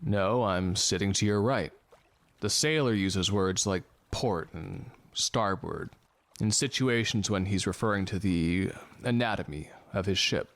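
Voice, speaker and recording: An actor playing a literal-minded man of medicine in a scripted audio drama, explaining things in a deliberate, didactic, plain manner. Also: sits low, fairly bright tone, no room sound. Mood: didactic, calm.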